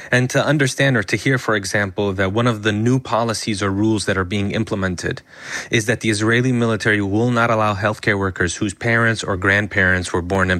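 A man who is an emergency physician, from the United States, answering radio interview questions in an earnest, measured way. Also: fairly low pitch, a bit bright, no room sound.

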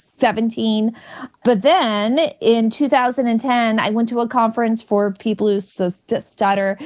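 A woman in her forties, a comedian and author, talking openly in an interview with a stutter that repeats and blocks sounds. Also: on the high side. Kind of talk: interview conversation.

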